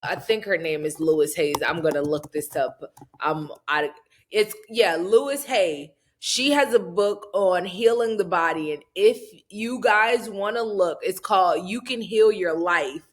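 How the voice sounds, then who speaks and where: A woman's voice: fairly low pitch, a little slow. A woman hosting an interview, affirming her guest and asking long, rambling questions. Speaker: podcast host. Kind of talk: casual interview conversation.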